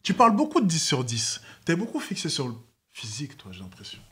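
A man's voice: a little high, wildly swooping pitch, a little fast, fairly bright tone. A young man hosting a livestream chat from an indoor set with a desk microphone, teasing his guest and calling out her claims. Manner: teasing, repeats "cap" to tease. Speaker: streamer host. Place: indoor living room set. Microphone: headset with desk microphone.

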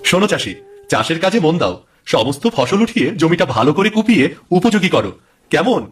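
A man voicing a wise, calm magical swan in a cartoon fairy tale, giving measured advice. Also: fairly high pitch, far from the mic, soft background music. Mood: instructing. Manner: instructive, measured, advising. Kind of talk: Bengali animated fairy-tale dialogue.

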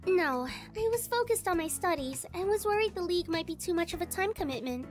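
A woman's voice: very high voice, animated, fairly quick. A woman playing a competitive young college tennis player in a visual novel game, with an earnest, girlish delivery. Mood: polite. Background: game music.

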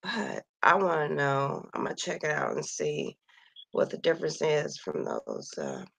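A woman who is losing her voice reads names from a missing persons list on a screen share and thinks aloud in a soft, slow, sighing way. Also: sits low, huge pitch swings, no room sound. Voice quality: gravelly, slightly husky voice. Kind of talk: casual screen-share commentary. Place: at home near Kansas City.

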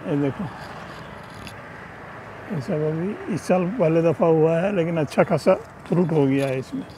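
Man, chatty gardener, a bit high-pitched, outdoor phone audio with faint city hum. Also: animated, unhurried, mellow, poor audio. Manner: chatty, pointing things out. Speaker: home gardener giving a tour. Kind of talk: casual walkthrough narration. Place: rooftop terrace garden, outdoors. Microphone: handheld phone camera.

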